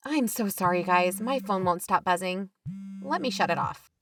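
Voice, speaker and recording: A woman's voice: mid-range voice, lively intonation, normal speed, slight background noise, lightly acted. A woman acting as a caring, level-headed peacemaker in an audio drama, speaking in a plain, conversational way. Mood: exasperated, practical. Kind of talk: scripted audio fiction dialogue.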